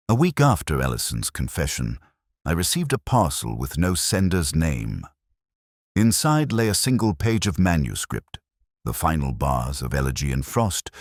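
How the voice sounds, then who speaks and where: A man reading a scripted first-person mystery story in a reflective, solemn, deliberate, melancholy manner. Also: rumbling low, dry recording, clean studio sound. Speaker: narrator of a detective mystery story.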